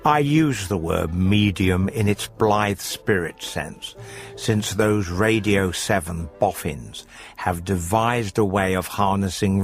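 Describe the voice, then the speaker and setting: A man narrating a scripted radio story as presenter, in a dry, measured manner. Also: deep voice.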